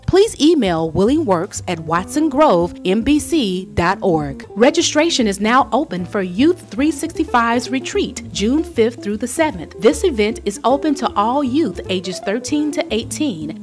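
A woman narrating scripted church announcements as the announcer. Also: on the high side. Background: music under the voice.

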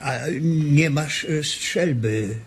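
An actor playing a hesitant old ranch hand in a radio drama, stammering in a gravelly voice. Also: male voice, a little high, very slow, slight background noise. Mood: hesitant, pleading.

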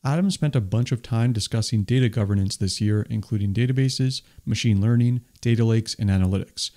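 A man's voice: on the low side, a little fast, dark tone. A man hosting a tech video, reading a scripted voice-over summary with an enthusiastic, explanatory delivery. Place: voice-over, not recorded on the stage pictured.

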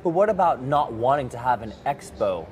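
A man's voice: medium pitch, big pitch swings, moderate pace, darkish tone. A young man interviewing an expo organizer, pressing with pointed questions and laughing easily. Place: large convention center hall, indoors. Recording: busy convention hall crowd noise. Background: crowd chatter.

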